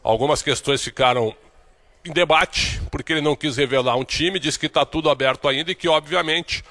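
A man from Brazil giving a recorded sports report in a steady, informative manner. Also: a little high, brisk.

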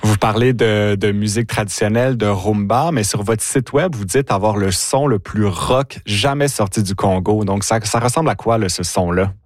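A man's voice: lowish voice, moderate pace, hardly any pauses, dry recording. A man conducting a live radio interview as the interviewer.

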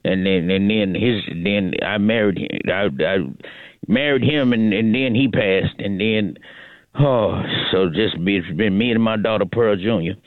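A man's voice: fairly low pitch, a little slow, dry recording, phone-quality sound, natural voice. A man voicing a cantankerous old great-grandmother on the phone, rambling, repeating herself and cussing. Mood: grumbling. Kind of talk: prank phone call comedy bit.

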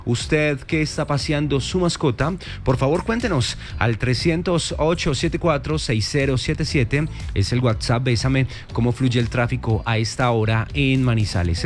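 A man with a Colombian accent hosting a morning radio traffic segment, brisk and upbeat on a studio microphone. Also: mid-range voice. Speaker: radio host.

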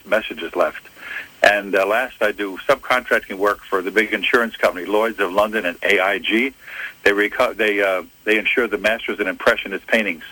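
An older man from Philadelphia, a retired FBI agent, answering interview questions in a measured way, often correcting himself. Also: on the low side, sharp tone, poor audio. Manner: measured, self-correcting storyteller. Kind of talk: interview answers, recounting career.

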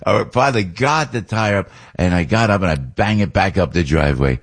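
Older guy hosting talk radio, real deep voice but swings all over, kinda husky, rambles a lot. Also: animated, brisk. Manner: chatty, laughs often, many restarts and "you know". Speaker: radio talk show host.

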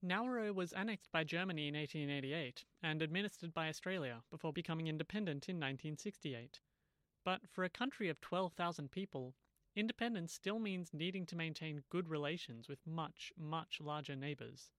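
A man's voice: fairly high pitch, brisk, a bit bright, no room sound. A man working as a radio reporter, narrating from a script and putting questions to a guest.

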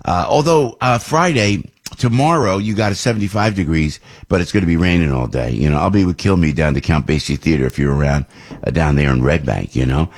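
A man's voice: sits low, fairly quick. An older man hosting a radio talk show, rambling and chatty with frequent restarts. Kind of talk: casual political talk radio monologue.

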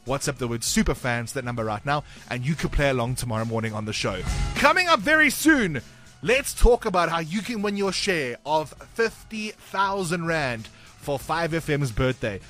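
A man's voice: on the high side, very animated, bright, forceful tone, soft background music. A man hosting a breakfast radio game, chirpy and teasing. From South Africa. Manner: chirpy, teasing, quick patter. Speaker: radio breakfast show host. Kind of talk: upbeat radio game segment.

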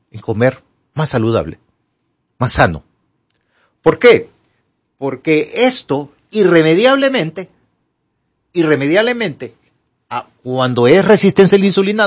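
A Honduran man speaking as a doctor, giving conversational advice emphatically and didactically, asking and answering his own questions. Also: on the high side. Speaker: doctor, studio guest answering health questions. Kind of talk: conversational medical advice for a broadcast audience. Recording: dull, lo-fi broadcast audio.

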